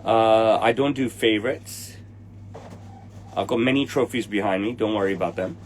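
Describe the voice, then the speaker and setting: Blunt Hong Kong-accented man, low voice. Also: normal speed. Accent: Hong Kong English. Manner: blunt, impatient, swears at commenters. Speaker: tailor hosting a livestream. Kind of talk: livestream Q&A with viewers. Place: indoor room with trophies behind him.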